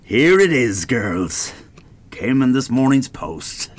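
Very animated older Irish father. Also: medium pitch, takes it slowly, no room sound. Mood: self-satisfied, musing. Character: querulous, gullible retired Dublin widower and father. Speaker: radio drama actor. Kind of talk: radio play acting.

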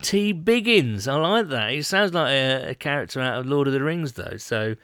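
A man's voice: mid-range voice, expressive, normal speed, fairly bright tone, no echo, studio quality. A man co-hosting a podcast in casual chat, rambling and laughing as he reads out listener questions. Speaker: podcast co-host.

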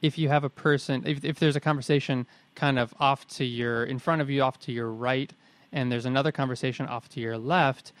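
A man's voice: mid-range voice, steady tone, a little fast, no echo. Dry-sounding wry man co-hosting. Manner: wry, jokey asides, restates ideas. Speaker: podcast co-host. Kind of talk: casual explanatory chat.

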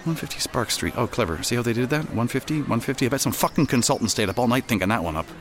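An actor playing a cocky, profane Canadian host with a sardonic, teasing manner in a naturalistic satirical audio drama. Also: male voice, mid-range voice, animated, quick pace, natural voice. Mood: irritated, sardonic. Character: wisecracking, profane male Canadian celebrity host, cocky and cajoling.

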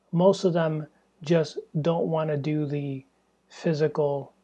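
Tired, hoarse man with a husky voice. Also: a little high, mellow, highs cut off. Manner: rambling, candid, swears freely. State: tired and hoarse, losing his voice. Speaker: podcast host. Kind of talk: casual solo podcast monologue. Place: small stuffy indoor room.

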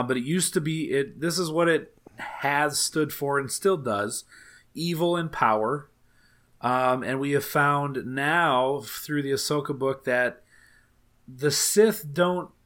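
A man with a raspy voice hosting a podcast, talking casually and rambling with many fillers. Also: medium pitch, fairly bright tone. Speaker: podcast host.

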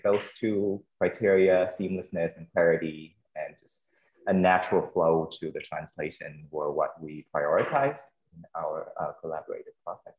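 A man with a Vietnamese accent and a very low, deep voice answers panel questions as a translator. He speaks in a thoughtful, measured way, often pausing with fillers and restarting phrases. The sound is roomy and echoey. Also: darkish tone.

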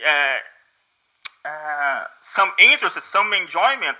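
A Brazilian man with a Portuguese accent talks in English, his native Portuguese showing through. His voice sits a little high and sounds bright and sharp. He hesitates constantly, with fillers and restarts as he searches for words. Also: lively intonation, takes it slowly, poor audio. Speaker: guest, English learner. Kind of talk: casual conversation.

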